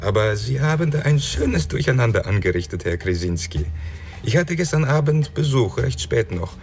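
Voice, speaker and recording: A man's voice: medium pitch, big pitch swings, moderate pace, a little mellow. Suave, courteous male go-between. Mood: courteous, musing. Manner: polite, formal, circumlocutory, hesitant asides. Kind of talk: German radio crime drama acting.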